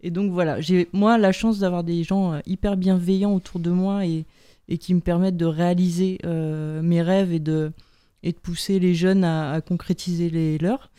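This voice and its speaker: A woman guest on a radio chat, talking upbeat about her school, pausing often with "euh". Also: on the low side, fairly even intonation, warm tone, clear, resonant tone, dry recording, studio quality. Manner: hesitant, many "euh" fillers, upbeat. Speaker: studio guest, school founder. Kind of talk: casual interview chat.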